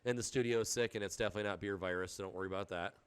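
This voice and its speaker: A man's voice: lowish voice, steady tone, racing, fairly bright tone, clear, resonant tone. A man with a head cold, sounding stuffy, hosting a podcast with easygoing banter and chuckles. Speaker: podcast host. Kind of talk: casual chat.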